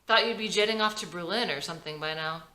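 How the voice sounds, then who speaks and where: A woman's voice: fairly low pitch, fast talker, bright, forceful tone, roomy sound. Woman reading a teasing, fond young man. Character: warm, teasing young male musician, fond of old friend.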